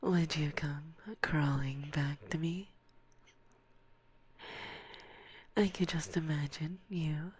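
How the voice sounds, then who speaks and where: A woman's voice: deep voice, fairly even intonation, darkish tone, husky. A woman playing a teasing, domineering forest witch in an ASMR roleplay, speaking breathily and close to a binaural microphone, slipping into whispers. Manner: teasing, condescending, slow and deliberate, often slipping into whispers.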